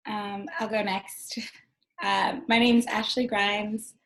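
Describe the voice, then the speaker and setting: Female, twenties, American accent. Mid-pitched, casual self-introduction. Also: level tone, slow pace, creaky, fairly bright tone, rough recording. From Ohio, United States. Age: 20s. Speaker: prospective medical student.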